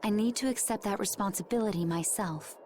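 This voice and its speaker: An actress playing a calm, secretive and dutiful young woman warrior in a video game. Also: medium pitch, brisk, a little hiss or hum, natural voice. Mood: calm, grave. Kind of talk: scripted video game voice acting. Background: game music.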